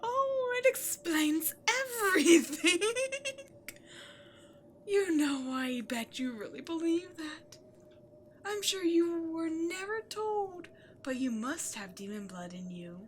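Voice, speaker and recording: A woman playing an imperious, scheming demon queen in a dramatic audio roleplay, seductive and easily exasperated, moving between outbursts and breathy near-whispers. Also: very high-pitched, sing-song, slow, slight background noise, full character voice. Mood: excited, gloating. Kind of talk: scripted audio roleplay, theatrical monologue to the listener.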